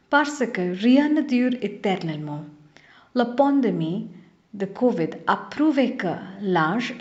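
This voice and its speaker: Slow woman from India, lo-fi recording. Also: mid-range voice, darkish tone. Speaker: learner sharing an expression. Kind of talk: read-aloud video message. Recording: dull lo-fi recording.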